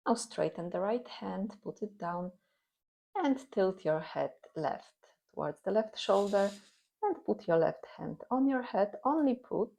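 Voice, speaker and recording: A woman teaching guided meditation and gentle yoga in a calm, soothing, instructional manner. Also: fairly low pitch, vocal fry.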